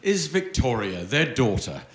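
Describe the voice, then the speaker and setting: A man's voice: mid-range voice, sing-song, a little slow, fairly bright tone. A man narrating a live radio play in a wry, mock-grand, old-time wireless announcer style. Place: theatre stage with audience. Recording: roomy live theatre stage.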